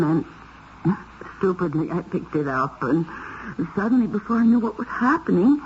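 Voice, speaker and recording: A woman's voice: medium pitch, natural voice. An actress playing a shaken, hesitant married woman under suspicion in a 1970s radio mystery. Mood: frightened, halting. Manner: hesitant, halting delivery. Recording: dull, hissy 1970s radio recording.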